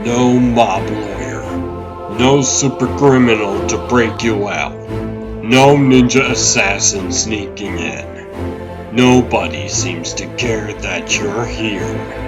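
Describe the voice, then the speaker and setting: A man playing a dogged, world-weary police detective who needles calmly in a comic dub. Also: fairly low pitch, slow. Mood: calm, needling. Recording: music behind the voice.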